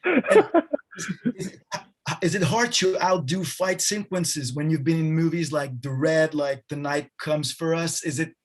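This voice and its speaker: A man's voice: a little high, unhurried. French-accented male interviewer. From France. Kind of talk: press interview over video call. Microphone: video call.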